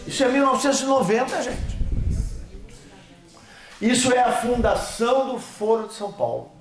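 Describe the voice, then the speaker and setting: A man's voice: very high voice, moderate pace, faint music behind. A man with a Brazilian Portuguese accent, giving an emphatic political seminar speech with slides, his voice swelling and dropping. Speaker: seminar speaker. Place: lecture hall. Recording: echoey hall.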